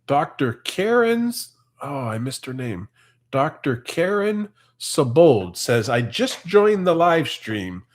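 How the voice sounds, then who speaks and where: A man's voice: a little high, very animated, takes it slowly. A Canadian man in his forties or fifties with a Canadian accent, hosting a farm livestream and reading out viewers' comments in a chatty, easygoing way. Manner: chatty, easygoing, laughs often. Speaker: farm channel host reading live comments. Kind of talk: casual livestream chat.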